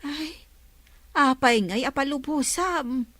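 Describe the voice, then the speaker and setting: A woman's voice: high-pitched, slow pace, fairly bright tone, dry recording. A woman with an Ilocano accent acting a concerned, questioning middle-aged village mother in a radio drama. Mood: questioning, worried.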